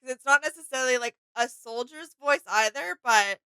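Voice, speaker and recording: Bright-voiced woman co-hosting a reaction. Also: sits high, a little fast, clean tone, dry recording. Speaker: reaction video co-host. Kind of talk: casual reaction chat.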